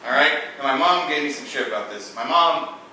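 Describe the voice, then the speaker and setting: A young man tells a loose, rambling story to friends, swearing now and then and laughing through asides. His voice is bright. The recording is very echoey and picked up from a distance, with faint noise behind him. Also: medium pitch, lively intonation, fairly quick. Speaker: storyteller in a drinking game. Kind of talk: casual chat, rambling storytelling. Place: room indoors with friends. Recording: very echoey room, mic far off, faint noise.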